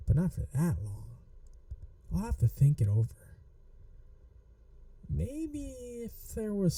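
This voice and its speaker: A woman acts out a flirty, adorkable female manager in an ASMR roleplay. Her voice is very soft and dark, teasing and fond, with unhurried phrasing that rises and dips expressively. The sound is dry and intimate. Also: low voice, gravelly, dry recording, recorded from a distance, full character voice, low-quality recording. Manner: soft, coaxing, playfully teasing, slipping into whispers. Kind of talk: ASMR roleplay, acted monologue to listener.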